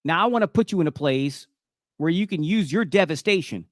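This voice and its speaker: Man, fifties, talk show host, slightly high voice, emphatic, repetitive, conversational, dry close sound. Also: animated, brisk, no room sound. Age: 50s. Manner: emphatic, repetitive, checks in with "right?".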